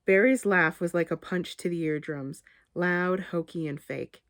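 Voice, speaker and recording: A woman author reading her short story aloud in a measured, expressive literary narration. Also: lowish voice, no room sound, clean studio sound.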